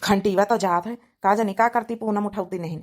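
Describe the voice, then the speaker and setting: A woman's voice: medium pitch, steady tone, moderate pace, lightly acted. A woman voicing a talkative, doting village brother in a cartoon comedy, colloquial and insistent, with an Awadhi accent. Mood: fretful. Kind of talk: Hindi-Awadhi cartoon family comedy dialogue.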